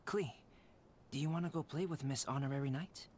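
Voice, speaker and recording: A man voicing a polite, caring young man in a video game, calm and measured, with gentle formal phrasing. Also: medium pitch, soft background music, lightly acted. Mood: gently protective.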